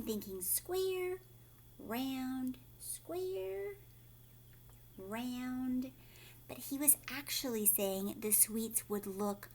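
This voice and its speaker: A woman with a high, very animated voice reads a children's story aloud like a teacher. She narrates expressively and puts simple explanations and questions to her young listeners.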